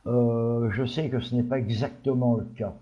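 A man's voice: medium pitch, steady tone, moderate pace, mellow, muffled recording. A man from Belgium giving his opinions in a panel discussion, hesitant with many fillers. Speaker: panel guest.

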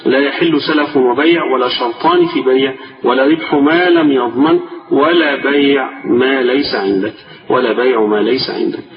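A man with an Egyptian accent teaching a religious lesson. His voice is tired and strained. He reads a text slowly, then explains it in colloquial speech and repeats the key phrases. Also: medium pitch. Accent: Egyptian Arabic. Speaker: sheikh teaching a class. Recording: distant, echoey, dull-sounding lecture recording with music.

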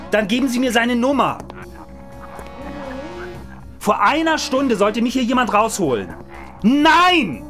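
A man's voice: very high voice, a bit bright, heavily acted. A man acting in a German radio drama as a cynical, hot-tempered radio reporter in his thirties, pompous and given to coarse cursing. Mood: furious, shouting. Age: 30s.

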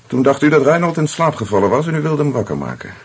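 An actor playing a methodical, polite but dry police commissioner in a radio play, speaking with measured, formal authority. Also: male voice, a little high, sing-song, breathy edge. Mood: measured, probing. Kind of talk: Dutch radio drama acting.

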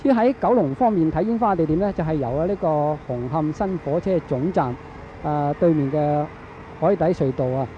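Male radio reporter, Hong Kong accent, slightly high mellow voice, halting live commentary with drawn-out fillers. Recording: dull, noisy archival radio broadcast.

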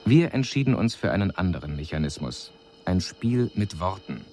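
An actor playing a detached extraterrestrial envoy in a radio drama, reporting on humans in measured, clinical, formal phrases. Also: male voice, deep voice, big pitch swings, darkish tone. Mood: detached, analytical. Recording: faint hiss on 1980s radio production.

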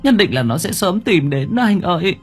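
A male narrator puts on a frightened young woman in a horror audio story, her pitch lifted well above his own. She stammers and repeats herself as she pleads for help, with music behind her. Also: very animated, moderate pace, breathy edge, full character voice. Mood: anxious, fearful. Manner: stammering, repeats words in panic.

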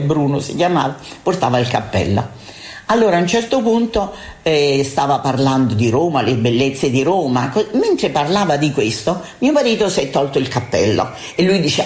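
An older woman recalling a memory in a relaxed, conversational way, with small restarts. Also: rumbling low, roomy sound. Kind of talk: personal reminiscence.

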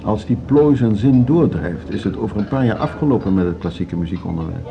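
A man's voice: lowish voice, animated, brisk, gravelly. An actor playing a wistful, courteous older man who loves music, in a Dutch radio drama with a Netherlands accent. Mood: sincere, worried. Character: Gijs, wistful, courteous ageing music lover, male. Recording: dull radio play, loud violin music. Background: bowed string music.

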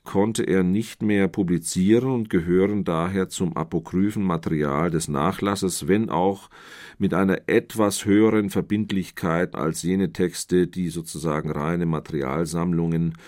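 Male literary scholar, very low register, measured explanatory delivery in long sentences. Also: fairly even intonation, a little mellow. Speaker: literary scholar, expert commentator.

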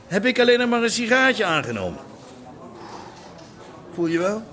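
Casting: a middle-aged cop in radio drama, bends rules, patronising, highish voice with lively swings. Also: male voice, normal speed, full character voice. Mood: threatening. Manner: colloquial, patronising. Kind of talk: naturalistic radio drama acting.

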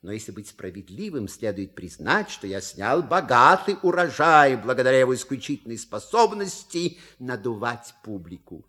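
An actor playing a suave, wry manservant with polite, measured, theatrical delivery in a stage comedy audio play. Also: male voice, medium pitch, big pitch swings, sharp tone. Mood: declaiming, wry. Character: suave, wry manservant reading out a scandalous letter.

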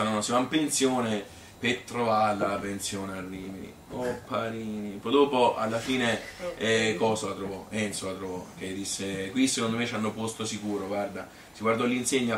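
A man's voice: fairly low pitch, a bit bright, lightly acted. A man playing an easygoing Tuscan man who rambles fondly about his youth in a folksy theatrical monologue. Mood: nostalgic. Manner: rambling, folksy, self-justifying. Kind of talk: theatrical monologue, colloquial storytelling. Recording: echoey room, distant mic, hissy noise.

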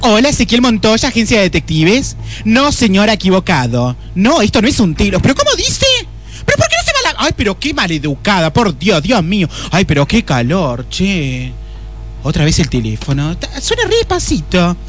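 A high, swooping voice plays a sassy secretary , acted out by a man. She is chatty and cheeky, grumbling in colloquial Argentine speech. The style is comic radio theatre, with music around the voice. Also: huge pitch swings, moderate pace, fairly bright tone, full character voice. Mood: phone chatter, then complaining. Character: chatty, cheeky, complaining young female detective-agency secretary. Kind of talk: comic radio play acting.

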